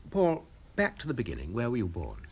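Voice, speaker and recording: An actor playing a courteous radio presenter interviewing a guest with polite, formal phrasing. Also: male voice, medium pitch, huge pitch swings, a little fast, a little mellow, a little hiss or hum.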